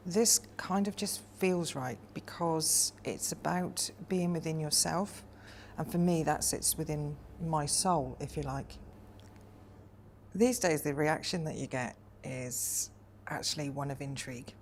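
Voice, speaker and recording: A woman in her forties from Wales, speaking thoughtfully in a personal interview, often pausing with hesitant ums. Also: low voice, darkish tone, a little hiss or hum. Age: 40s.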